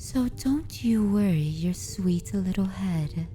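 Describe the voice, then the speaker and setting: Girl doing a vampire mistress asmr, super slow n soft, whispery, very velvety dark voice, bossy but sweet, music behind. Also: mid-range voice, expressive. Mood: soothing, commanding. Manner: slow, soft, often whispered, formal old-fashioned phrasing. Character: dominant, tender female vampire mistress soothing her pet. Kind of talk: slow ASMR fantasy roleplay.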